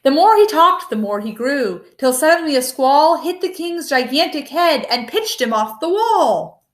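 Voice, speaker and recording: High, very animated woman reading aloud. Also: normal speed. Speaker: storybook reader. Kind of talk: animated rhyming picture-book read-aloud.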